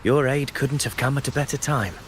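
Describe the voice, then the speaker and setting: An actor playing a dutiful military officer in a video game, speaking formally and respectfully. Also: male voice, mid-range voice, brisk, natural voice. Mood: respectful, earnest. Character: dutiful male military officer serving his commander. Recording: game ambience noise behind voice.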